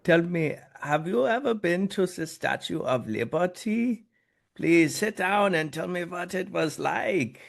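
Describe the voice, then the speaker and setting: An actor playing a harmless, adorable baker in his eighties, gentle and a little wistful, at a script table read. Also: mid-range voice, animated, unhurried, vocal fry. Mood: delighted, welcoming. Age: 80s.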